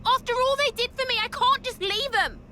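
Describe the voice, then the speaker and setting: A woman's voice: very high voice, fairly quick, pushed and bright, slight background noise. An actress playing a hot-headed, insolent young woman in a video game. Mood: agitated, desperate. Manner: brash, insolent.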